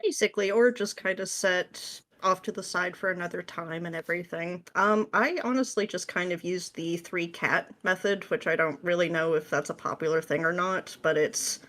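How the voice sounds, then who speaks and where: Slightly low-voiced woman, casual and playful. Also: normal speed, hardly any pauses, a bit bright. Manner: informal, playful, slangy explanations. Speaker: podcast guest, script writer. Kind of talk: casual interview chat.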